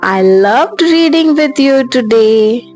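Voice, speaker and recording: A woman addresses young viewers as a show host in scripted lines. She speaks slowly in a slightly high voice, with children's music playing behind her. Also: lively intonation, darkish tone. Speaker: host signing off a kids' story show.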